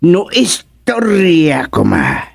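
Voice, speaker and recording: A man's voice: on the high side, huge pitch swings, takes it slowly, creaky, husky, dry recording, studio quality, exaggerated voice. A man with an Ilocano accent playing a jovial, bantering storyteller in a radio comedy drama. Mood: amused.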